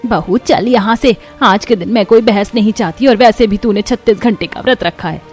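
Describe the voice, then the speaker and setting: A woman's voice: mid-range voice, normal speed, a little mellow, faint music behind, natural voice. A woman voicing a patient, older poor mother-in-law in a cartoon story, restrained and keen to avoid quarrels. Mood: weary, restrained. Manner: restrained, peace-seeking. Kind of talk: Hindi cartoon moral story dialogue.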